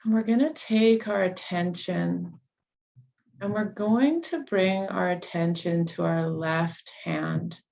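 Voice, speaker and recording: A woman leading a guided meditation, giving soothing, unhurried instructions with long pauses between phrases. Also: fairly low pitch, creaky, darkish tone, muffled recording.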